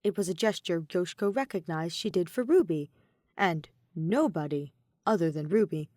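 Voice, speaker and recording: A woman's voice: lowish voice, animated, normal speed, darkish tone, no echo, studio quality. A woman reading a scripted fan story aloud as its narrator. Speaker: audio fan fiction narrator.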